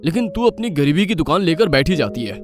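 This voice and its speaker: A woman's voice: low voice, big pitch swings, fairly quick, over music. A woman voicing a cruel, angry young husband in a cartoon story, harsh and insulting.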